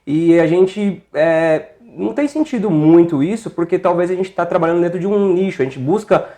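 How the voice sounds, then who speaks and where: A man, speaking casually and haltingly as an interview guest. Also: high voice, warm tone. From São Paulo, Brazil. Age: 30s. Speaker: interview guest, small business owner.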